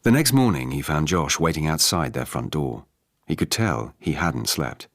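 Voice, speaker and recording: A man reading a novel as a scripted radio narration, in a measured, restrained dramatic way. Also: extremely low pitch, animated, no room sound, studio quality.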